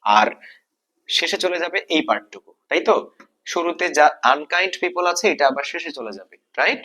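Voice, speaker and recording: A man's voice: fairly high pitch, expressive, moderate pace, bright, forceful tone. A man with a Bangladeshi accent teaching a grammar lesson step by step, often asking questions and answering them himself, repeating key points. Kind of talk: exam-prep grammar lesson, explaining step by step.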